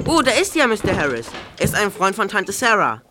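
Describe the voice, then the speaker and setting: A man's voice: sits high, huge pitch swings, fairly quick, background noise. A young male actor playing a polite, eager English boy visiting America, in an audio drama. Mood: earnest. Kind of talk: German audio drama acting.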